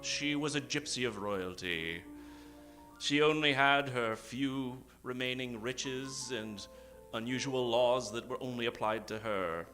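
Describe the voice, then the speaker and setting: A man hosting as a theatrical mock old-time radio announcer, speaking dramatically with suspenseful pauses and sudden emphasis. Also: medium pitch, pushed and bright. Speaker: announcer and host of an improvised radio show. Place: theater stage. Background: live keyboard music.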